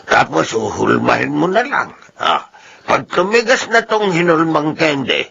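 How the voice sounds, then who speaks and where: Creaky, doting old grandfather. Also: fairly high pitch, expressive, pushed and bright, heavily acted. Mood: gentle instructing. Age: older. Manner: slow, tender, fussing. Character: doting, hardworking old grandfather who sells homemade candy. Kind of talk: Filipino radio drama acting. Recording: dull, slightly noisy radio recording.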